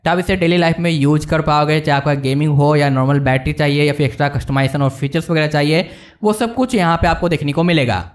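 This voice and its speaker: Higher-voiced Indian man reviewing tech. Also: steady tone, fast. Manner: informal, addresses viewers as brother, mixes in English words. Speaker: tech reviewer and video host. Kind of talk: casual screen-walkthrough review narration.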